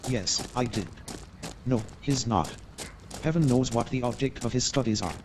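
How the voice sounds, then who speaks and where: An actor playing a courteous, gossipy Victorian gentleman in a staged radio play, formal and measured in his hesitant explanations. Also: mid-range voice, noisy background, close to an everyday voice. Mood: uneasy, explanatory.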